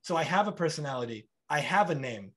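Lively animated man on a video call. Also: a little high, quick pace. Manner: animated, gestures broadly, acts out both sides of dialogues. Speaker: podcast host. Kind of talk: conversational interview. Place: bright home room.

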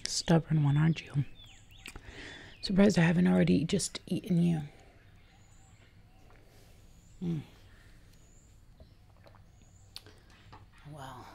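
A woman's voice: low-pitched, moderate pace, creaky, mellow, breathy edge, exaggerated voice. A young woman playing a haughty, menacing jungle giantess queen in a whispered, condescending ASMR roleplay, close to the mic. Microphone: close mic in front of camera. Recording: close mic with audible background noise.

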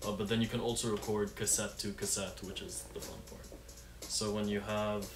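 A man's voice: on the low side, little pitch movement, normal speed. A young man giving a casual, laid-back how-to demonstration in a small room at home. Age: young adult. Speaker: musician giving a tutorial. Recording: roomy sound with loud music. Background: loud music.

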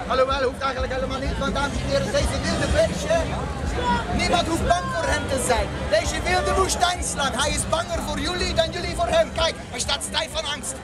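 High-pitched theatrical bazaar quack. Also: male voice, steady tone, moderate pace. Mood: mock-exasperated showmanship. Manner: theatrical, projecting, showman-like, broken grammar. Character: cheeky theatrical male bazaar quack peddling carpets. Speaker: theme park street performer. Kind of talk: live comic street theatre patter to audience. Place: outdoor theme park square. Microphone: handheld camera from within the crowd. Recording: crowd and music, outdoors, amateur camera. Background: crowd noise and music.

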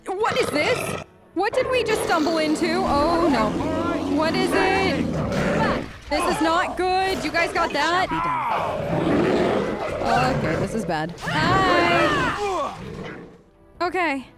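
A woman's voice: very high voice, very animated, slow, long pauses, loud music behind the voice, over a noisy crowd. A woman doing casual, improvised role-play commentary as a let's play host, conversational, hesitant and often questioning.

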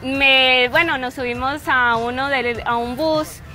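A young woman from Santander, Colombia, with a Santander accent, telling stories in an outdoor street interview with a forceful, emphatic way of talking. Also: sits high, fairly even intonation, takes it slowly, over loud music. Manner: forceful, emphatic delivery with frequent "¿sí?" tags. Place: outdoor park with trees.

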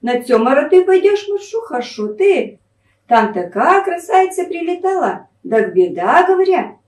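A woman's voice: high-pitched, sing-song, normal speed, darkish tone, voice pushed up. A woman with a Northern Russian Pinega dialect voicing haughty, mocking young peasant sisters in a folk tale. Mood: scornful. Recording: echoey room, distant microphone.

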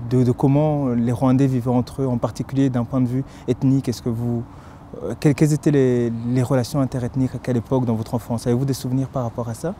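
A man in his thirties interviewing, asking formal questions in a measured, polite way with small restarts. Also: mid-range voice, level tone, dark tone, slight background noise. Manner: measured, polite, slightly halting. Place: park lawn outdoors.

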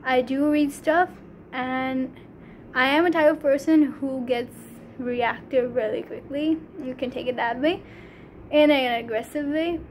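Earnest Pakistani teen girl, high voice. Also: slight background noise. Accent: Pakistani English. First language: Urdu. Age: teens. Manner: earnest, halting, many fillers. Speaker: vlogger. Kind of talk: casual talk to camera. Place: small room indoors. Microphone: phone camera at arm's length.

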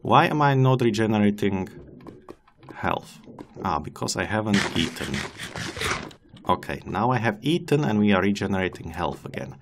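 A man's voice: fairly low pitch, animated, normal speed, gravelly, slight background noise. A man whose first language is not English, casually commenting on his gameplay as a let's-play host, chatting to the animals and joking at his own expense. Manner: chatty, talks to the game's animals and laughs at himself.

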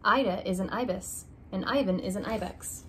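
A young woman reading a children's alphabet picture book aloud, slow and deliberate, stressing each opening letter, in a small room. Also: medium pitch, fairly bright tone, a little hiss or hum, poor audio. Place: small room indoors by a curtained window.

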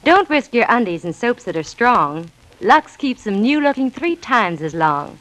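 Animated woman in a vintage radio ad. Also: on the high side, a little slow, low-quality recording. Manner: chatty, persuasive. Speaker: commercial spokeswoman. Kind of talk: 1940s radio advertising, scripted. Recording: vintage 1940s radio broadcast.

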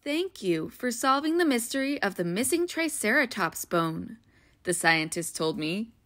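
Female storyteller, bright and clear, warm read-aloud manner for kids, dry close mic. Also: on the high side, unhurried, no echo. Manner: gentle, expressive, child-friendly reading. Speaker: children's book read-aloud host.

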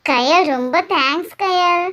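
A woman's voice: very high voice, measured pace, fairly bright tone. A woman playing a kindly, chatty village neighbour in an amateur family story drama. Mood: friendly, grateful.

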